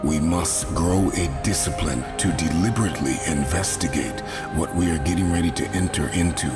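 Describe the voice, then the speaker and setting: Very deep-voiced motivational man. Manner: emphatic, deliberate, repetitive phrasing. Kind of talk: motivational speech. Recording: music under the voice.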